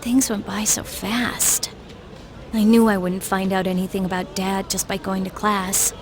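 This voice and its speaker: A woman playing a withdrawn, preoccupied high school girl in a video game, talking casually and evasively. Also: level tone, a little slow, slight background noise, close to an everyday voice. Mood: downcast.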